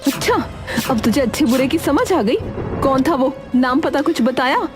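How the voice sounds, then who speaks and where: A woman's voice: high-pitched, expressive, normal speed, over music, voice pushed up. A woman voicing a poor, strict, worried village mother in a cartoon moral story, firm and plain-spoken. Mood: angry, scolding.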